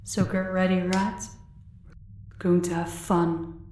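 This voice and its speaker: A woman's voice: lowish voice, steady tone, darkish tone, recorded from a distance, slight background noise. Echoey, coolly sadistic female crime boss, acted by a woman. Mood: menacing, gloating. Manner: condescending, measured, polite menace. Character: sadistic female crime boss, menacing, calmly toying with captive. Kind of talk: audio roleplay acting. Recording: echoey room, away from the mic.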